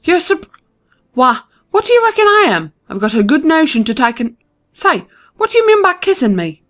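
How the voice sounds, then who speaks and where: A man's voice: very high voice, big pitch swings, normal speed, fairly bright tone, pitched above the performer's own voice, full character voice. A male narrator voicing a fussy, hot-tempered older farm aunt who scolds and exclaims in folksy speech. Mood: indignant.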